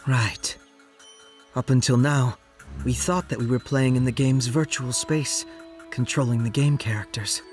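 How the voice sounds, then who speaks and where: A composed young male ninja played by a man with a mid-pitched voice, explaining carefully. It is anime game dialogue with faint music underneath. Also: fairly even intonation, a little slow, natural voice. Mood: thoughtful. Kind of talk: anime video game cutscene acting.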